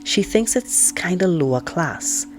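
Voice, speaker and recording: A woman's voice: lowish voice, animated, a little slow, soft background music, voice pushed up. Woman reading as outspoken, indignant teenage girl. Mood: wry, exasperated. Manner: frank, opinionated, conversational, sarcastic. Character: outspoken, indignant teenage girl narrating her family life. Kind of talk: first-person audiobook read-aloud.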